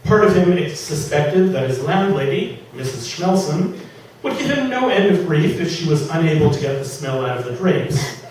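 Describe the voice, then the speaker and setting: A man's voice: a little high, sing-song, moderate pace, darkish tone. A man, an author giving a theatrical, emphatic live reading of comic fantasy fiction. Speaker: author reading from own novel. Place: public reading at an indoor venue. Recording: echoey room from far off, faint noise.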